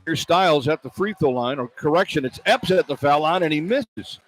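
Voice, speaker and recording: A man doing live radio basketball play-by-play, describing the action in short, clipped phrases that build toward excited calls. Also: a little high, brisk. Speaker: play-by-play announcer. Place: broadcast position at an indoor arena.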